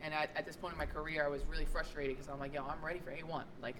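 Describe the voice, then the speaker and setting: A young adult woman chatting casually as a podcast guest, telling stories in an animated, quick way. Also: deep voice, background noise. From New York. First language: Italian. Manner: animated storyteller, quick asides.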